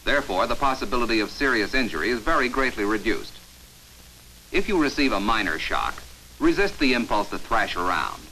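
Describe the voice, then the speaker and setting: An American man delivering scripted instructional narration for a 1950s training film in an authoritative, measured, emphatic announcer manner, on an old film soundtrack. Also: medium pitch, very animated, sharp tone, slight background noise, low-quality recording.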